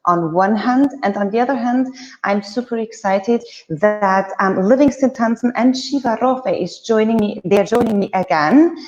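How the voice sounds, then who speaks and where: A woman's voice: mid-range voice, moderate pace, soft background music. A woman from Austria hosting a live-stream discussion, welcoming guests in an upbeat way with occasional fillers. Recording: echoey, dull video-call audio.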